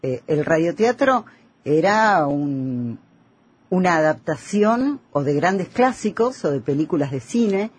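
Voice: medium pitch, a little mellow, husky. A radio co-host with a Rioplatense Argentine accent, explaining things conversationally.

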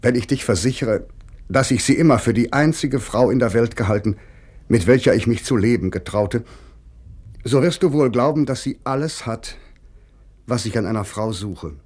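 An actor playing a grieving, bitterly ironic middle-aged man of letters, reading in a measured way for radio. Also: mid-range voice, a little mellow, husky, natural voice. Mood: earnest, tender.

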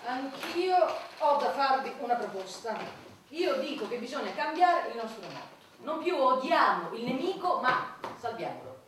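A zealous female minister declaims in a satirical radio drama. Her pitch is fairly high and swings widely as she insists on each point. She sounds distant, in a strongly reverberant room. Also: big pitch swings, normal speed, a bit bright. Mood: earnest, proposing. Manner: declamatory, emphatic, enumerating points. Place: large echoey room, recorded from afar. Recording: distant, very echoey hall, faint noise.

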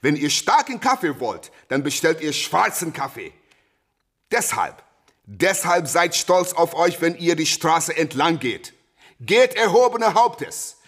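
Husky, fiery boxer-preacher. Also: male voice, fairly high pitch, big pitch swings, slow pace, a bit bright, exaggerated voice. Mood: fervent preaching. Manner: emphatic, preaching, rhetorical repetition. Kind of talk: German radio play oratory.

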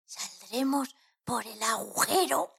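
A woman's voice: a little high, expressive, slow, creaky, fairly bright tone, husky, heavily acted. A woman voicing an adventurous, resourceful hen in a children's audio story, playful and persuasive. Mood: determined.

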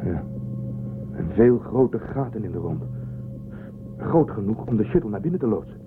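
A man's voice: lowish voice, big pitch swings, normal speed. A man acting in a radio drama as a serious, decisive spaceship commander. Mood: determined. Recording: tinny phone-like narrowband audio. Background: music.

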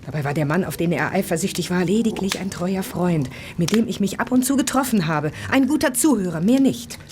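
A flustered married lady of radio drama, acted by a woman. She is defensive and agitated, hurrying through her phrases. The background is clearly noisy. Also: fairly low pitch, animated, close to an everyday voice. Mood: nervous, pleading. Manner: hurried, agitated delivery. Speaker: actress in an audio drama. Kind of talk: German radio play acting.

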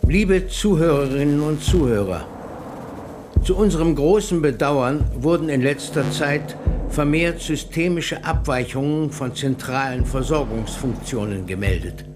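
An actor playing an unyielding committee bureaucrat in a radio play, formal, impersonal and officious. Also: male voice, fairly high pitch, lively intonation, measured pace. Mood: formal, announcing. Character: unyielding male bureaucrat of a machine-run committee. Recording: loud music behind the voice.

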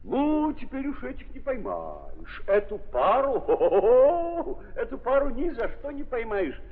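An actor playing a merry, teasing painter in a 1930s radio play, jovial and exclaiming. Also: male voice, extremely high pitch, huge pitch swings, a little slow, voice pushed up, full character voice. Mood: boisterous, teasing. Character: merry short-legged male painter, boisterous, teasing. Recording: muffled 1930s recording, long reverberant hall.

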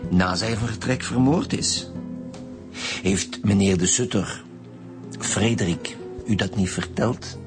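An actor playing a blunt, suspicious Flemish chief inspector in a radio crime drama, probing and sardonic. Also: male voice, lowish voice, slow, pauses often. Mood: sardonic, pressing. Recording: music behind the voice.

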